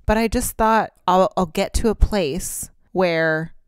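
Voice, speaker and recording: A woman chats casually as a podcast co-host, candid and rambling, swearing now and then. Her voice sits a little low. She is close on the mic in a dry, dead-sounding space. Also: takes it slowly, clean tone, dry recording. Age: 30s. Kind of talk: casual chat.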